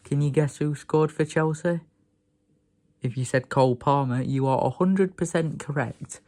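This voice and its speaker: A young man from England, hoarse from a sore throat, rambling and grumbling in a casual vlog rant. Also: a little high, a little slow, mellow. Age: young adult. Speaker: vlogger.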